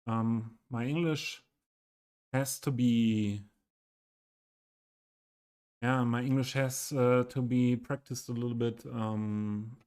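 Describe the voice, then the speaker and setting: Halting German man, slow and flat. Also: medium pitch, clean tone. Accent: Western German. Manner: halting, many ums, searching for words. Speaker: game streamer. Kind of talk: casual live stream commentary.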